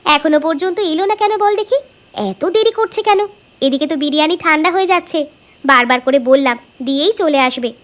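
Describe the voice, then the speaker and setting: A woman's voice: extremely high pitch, fairly bright tone. A woman voicing an eager, deferential village wife and home cook in a cartoon story. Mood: fretful.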